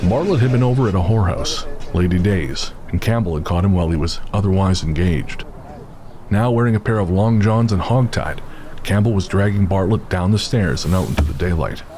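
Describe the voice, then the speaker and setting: A man's voice: low voice, fairly quick, dark tone, slight background noise. A man narrating a scripted dramatic story, with music behind him.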